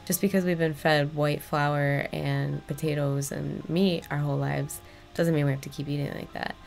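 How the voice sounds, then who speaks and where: A woman's voice: deep voice, little pitch movement, moderate pace, gravelly, a little mellow, soft background music. A young woman co-hosting a casual home podcast, laid-back and giggly, recorded on a webcam mic in a room with a green curtain. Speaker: podcast co-host. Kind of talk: casual chat.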